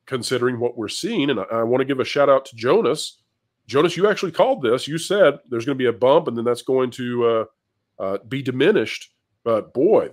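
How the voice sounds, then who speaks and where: A man hosting commentary and panel talk, with an emphatic, wordy presenter's delivery. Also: mid-range voice. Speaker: host of an entertainment business news channel.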